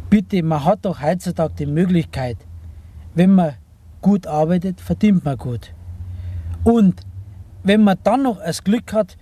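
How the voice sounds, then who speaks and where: A man talks casually about his views as a working driver. His voice sits high for a man, yet the tone is mellow and rounded. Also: a little slow, long pauses, breathy edge. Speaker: truck driver. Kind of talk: casual opinion in an original-sound radio collage.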